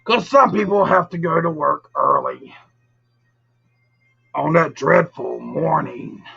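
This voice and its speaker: Male live storytelling host: bright, forceful, very husky rasp, casual and teasing with viewers, muffled recording with music underneath. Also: a little high, very animated, slow pace. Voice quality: gravelly, raspy. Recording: dull lo-fi audio, music behind.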